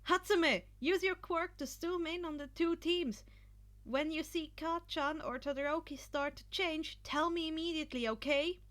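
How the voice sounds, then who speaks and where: A woman's voice: high-pitched, moderate pace, a bit bright, voice pushed up. A woman voicing an earnest, determined teenage boy who leads his team with sincere urgency in an anime fan story. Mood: urgent.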